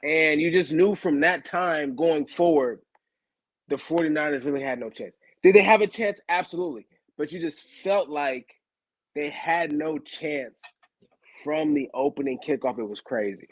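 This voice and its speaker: A man co-hosting a casual podcast as a former player, telling stories in an animated, slangy way and often repeating himself. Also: fairly high pitch, moderate pace, dry recording, low-quality recording. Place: home studio, video call. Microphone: video call setup.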